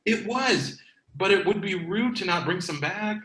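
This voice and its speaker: A man's voice: sits high, lively intonation, measured pace, a bit bright. A man playing a pragmatic, bossy video store clerk with an emphatic, mock-serious manner in a comedy table read. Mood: matter-of-fact. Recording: echoey room.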